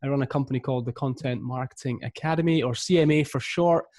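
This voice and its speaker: An upbeat Scottish man in his thirties speaks at an ordinary pitch for a man. The sound is dry and close, with no room echo behind his lively guest talk. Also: fast talker. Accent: Scottish, east coast. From Scotland. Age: 30s. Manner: energetic, upbeat. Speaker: guest, marketing coach. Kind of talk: podcast interview.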